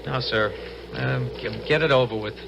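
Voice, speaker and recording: An actor playing a nervous young American prisoner in a 1970s radio drama, hesitant, stammering and deferential. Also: male voice, mid-range voice, moderate pace, creaky, highs cut off, noisy background, close to an everyday voice. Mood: resigned.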